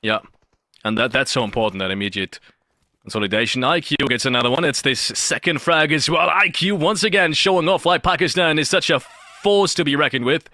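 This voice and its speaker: Bright, crisp male esports commentator. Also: a little high, fairly quick, no room sound. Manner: emphatic, repeats phrases for effect. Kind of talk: live game commentary.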